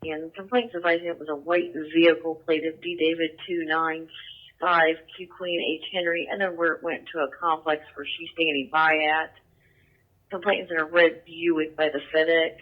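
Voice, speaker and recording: Male radio dispatcher, higher-pitched and even, procedural delivery through a narrow, tinny scanner. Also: normal speed. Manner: matter-of-fact, spells out plates phonetically. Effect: police radio.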